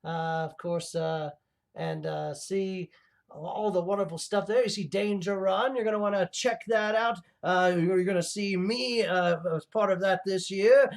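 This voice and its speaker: A man playing a theatrical mad villain hosting a comedy livestream, rambling and gloating with halting, melodramatic delivery and a creaky voice. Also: high-pitched, steady tone. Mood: rambling, playful. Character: theatrical male mad villain host plotting laser revenge. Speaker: livestream show host.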